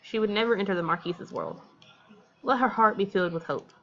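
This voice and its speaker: A woman's voice: mid-range voice, sing-song, fairly quick, a bit bright, highs cut off. An American woman reading a visual novel aloud and commenting casually as a let's play host. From Texas, United States.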